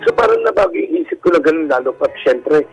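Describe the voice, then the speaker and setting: A Filipino man with a campy, animated way of talking, telling his personal story casually as a guest on a radio call-in show. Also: a little high, quick pace, gravelly, poor audio. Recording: radio call-in over background music. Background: music.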